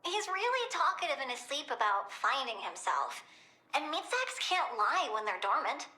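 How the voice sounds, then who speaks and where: A young plant-girl villain from a video game, eager and bluntly impish. Her voice is very high and bouncy, with a bright, childlike edge in a roomy recording. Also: big pitch swings, normal speed, heavily acted. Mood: creepy, blunt. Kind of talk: animated video game voice acting.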